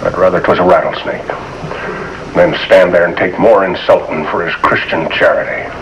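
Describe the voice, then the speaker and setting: A man's voice: deep voice, fairly even intonation, normal speed, lo-fi sound, natural voice. An actor playing a proud, vengeful backwoods father with a rural American accent in a 1950s television drama. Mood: bitter, stern. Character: stern, proud, vengeful backwoods family patriarch. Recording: faintly noisy old TV soundtrack.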